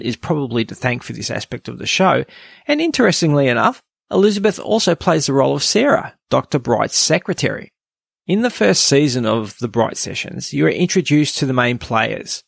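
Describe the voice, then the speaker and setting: A man with a gravelly, medium-pitched voice narrates a scripted review as a podcast host. The sound is dry, with no room echo.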